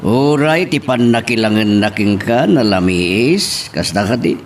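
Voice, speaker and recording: A man's voice: lowish voice, animated, a little slow, far from the mic, a little hiss or hum. A man with a Filipino Ilocano accent acting a concerned older father who admonishes and advises in a radio-style romance drama. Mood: concerned, advising.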